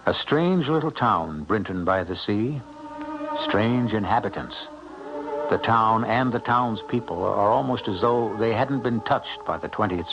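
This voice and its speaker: Gravelly, low-voiced man hosting radio mystery. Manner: theatrical, portentous, measured phrasing. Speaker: host and narrator. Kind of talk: scripted mystery radio narration. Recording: dull old radio broadcast recording. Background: dramatic background music.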